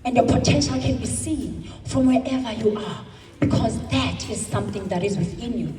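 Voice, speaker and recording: A South African woman in her thirties giving an emphatic motivational speech at a convention, stretching her words into slow dramatic pauses. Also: on the high side, music in the background. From South Africa, Eastern Cape. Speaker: convention speaker. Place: large hall. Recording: echoey hall, speaker far from mic.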